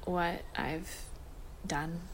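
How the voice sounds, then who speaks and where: A protective, short-fused werewolf in an audio drama. She speaks slowly in a lowish, brooding voice. Faint noise sits behind her. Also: steady tone, rough recording. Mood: tense, brooding. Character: short-tempered, fiercely protective werewolf woman. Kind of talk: supernatural audio drama acting.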